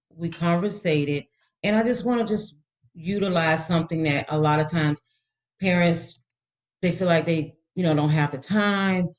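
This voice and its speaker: A woman's voice: lowish voice, a little mellow. A woman educator explaining things informally to parents as a radio guest, instructive and repeating key words. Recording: slightly dull radio audio.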